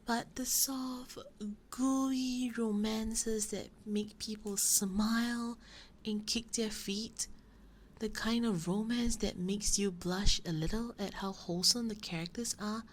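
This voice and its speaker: A woman playing a flustered, shy romance novelist roommate in an ASMR roleplay, hesitant, soft-spoken and rambling as she justifies herself. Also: mid-range voice, steady tone, slow, close to an everyday voice. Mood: embarrassed, exasperated. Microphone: binaural ASMR microphone. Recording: faint noise, binaural microphone.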